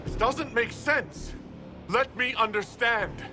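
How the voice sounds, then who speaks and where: An actor playing a defiant, earnest hero who confronts a monstrous villain in a video game. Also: male voice, very high voice, slow. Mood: urgent, questioning. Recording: loud music over the voice.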